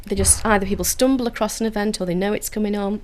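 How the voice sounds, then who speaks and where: A woman's voice: mid-range voice, steady tone, quick pace, a little mellow, a little hiss or hum. Liverpudlian woman, earnest and upbeat. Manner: earnest, enthusiastic, with frequent filler restarts. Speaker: council community engagement officer. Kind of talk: radio interview. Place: radio studio.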